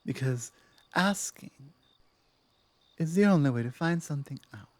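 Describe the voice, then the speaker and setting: A woman playing a dominant but softening werewolf pack leader in an ASMR roleplay, speaking in a measured, earnest way with thoughtful pauses. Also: sits low, lively intonation, no room sound. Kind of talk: ASMR roleplay, close one-on-one address.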